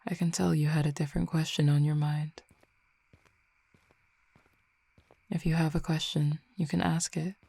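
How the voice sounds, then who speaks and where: A woman voicing a composed, formal supernatural cupid roommate who speaks in precise, measured words and teases gently. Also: deep voice, little pitch movement, gravelly, husky, no room sound, heavily acted. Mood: curious. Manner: precise, formal wording, measured and unhurried, soft asides. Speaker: voice actor in an audio roleplay. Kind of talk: audio roleplay addressed to the listener.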